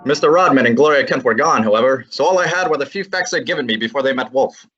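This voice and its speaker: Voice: medium pitch, fast talker, a bit bright, dull audio, natural voice. A performer playing a brash young male assistant who also narrates in an old-time radio drama, talking in a teasing, breezy way. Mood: narrating.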